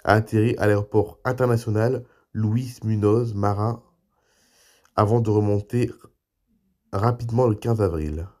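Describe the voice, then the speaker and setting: A man with a cold, speaking softly as the host of an online aviation news show, reading articles and adding casual asides. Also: lowish voice, fairly even intonation, a little slow, long pauses. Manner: reads articles, then adds loose spoken asides.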